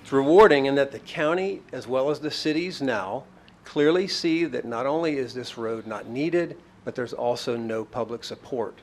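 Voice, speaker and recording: Man, mid voice, steady prepared remarks at a podium mic in a courtroom. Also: medium pitch. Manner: measured, appreciative. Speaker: public commenter. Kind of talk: prepared public statement. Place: county courtroom.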